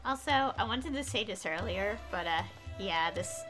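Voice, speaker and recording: A woman's voice: mid-range voice, lively intonation, moderate pace, over music. A woman game streamer chatting casually in a rambling way during a livestream. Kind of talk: casual livestream chat and read-along.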